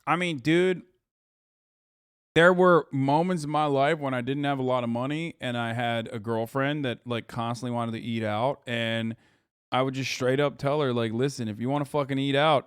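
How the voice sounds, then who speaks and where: A man's voice: mid-range voice, fairly even intonation, normal speed, smooth, dry recording, studio quality. Blunt, profane streamer man. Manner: profane, blunt, joking with chat. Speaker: streamer reacting to videos. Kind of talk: casual livestream chat.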